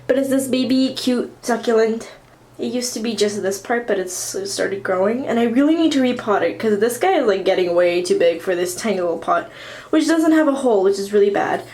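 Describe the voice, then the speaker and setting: A woman's voice: a little high, animated, fairly quick, a little mellow. A teenage girl with a slight Armenian Russian accent, chatting casually with lots of fillers while showing viewers around her home. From southern California. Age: teens. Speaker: vlogger. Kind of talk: casual plant tour vlog. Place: apartment room and bathroom, indoors.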